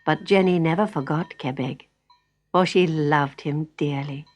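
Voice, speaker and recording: A woman narrating a children's story in an expressive, carefully phrased way. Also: sits low, a little slow.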